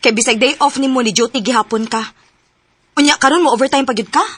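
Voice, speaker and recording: A woman's voice: fairly high pitch, quick pace, a bit bright, breathy edge. An actress playing a worried young woman pressing her partner about their relationship in a radio drama. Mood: anxious. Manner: pleading, questioning.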